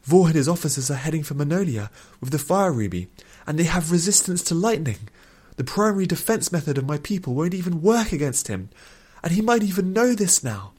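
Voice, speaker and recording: A man's voice: mid-range voice, a little fast, darkish tone, pitched above the performer's own voice, close to an everyday voice. A man voicing a young runaway princess in a storybook, agitated, pleading and guilty.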